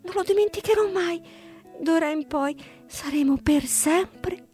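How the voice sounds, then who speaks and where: Woman plays a proud female fox brought low, high-pitched and emotional, storybook. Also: measured pace, mellow, soft background music. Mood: tearful.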